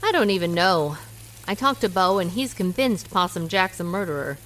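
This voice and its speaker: A woman's voice: on the low side, very animated, moderate pace, fairly bright tone, poor audio. An actress playing a worried amateur detective who narrates her own story in a radio drama. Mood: anxious. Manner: earnest, wry first-person narration. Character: worried amateur detective woman, diner owner.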